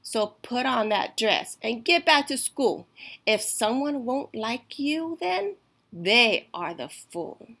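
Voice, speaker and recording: Female storytime reader: unhurried, emphatic delivery, slightly high and bright, for rhyming picture books. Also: animated. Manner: slow, deliberate, emphatic storytelling. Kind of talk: expressive read-aloud of a rhyming children's picture book.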